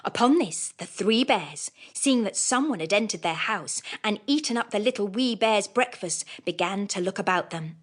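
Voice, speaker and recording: A woman reads classic bedtime tales for children as an audiobook narrator. Her voice sits at a middle pitch and moves up and down a great deal, with expressive storytelling phrasing. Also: normal speed, few pauses.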